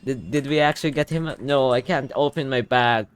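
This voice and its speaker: Excitable young man reacting to a game. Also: medium pitch, normal speed. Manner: excitable, thinking aloud, casual swearing. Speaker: gaming YouTuber. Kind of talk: casual game reaction commentary. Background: game music.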